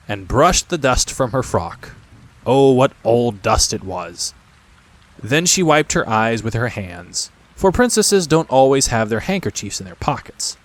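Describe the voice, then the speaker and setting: A man narrating a classic children's story from a script, expressive and speaking directly to the listener. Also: mid-range voice. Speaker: audiobook narrator.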